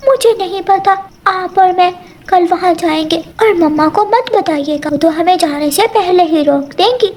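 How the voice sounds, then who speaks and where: Cartoon chick played by a woman: little boy sparrow, very high voice, eager, stubborn, whiny and childlike. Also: normal speed, a little mellow, a little hiss or hum. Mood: pleading, insistent.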